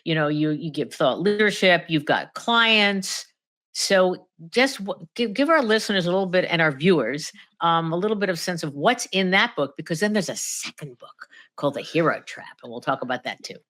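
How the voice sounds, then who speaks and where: A woman interviewing an author in a relaxed chat, quick to affirm what he says. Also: lowish voice. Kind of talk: casual interview.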